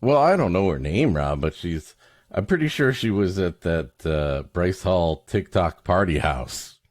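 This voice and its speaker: A man's voice: sits low, animated, unhurried, a little mellow, no room sound. A man co-hosting a podcast, mixing story reading with wry, casual comic riffs. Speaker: podcast co-host.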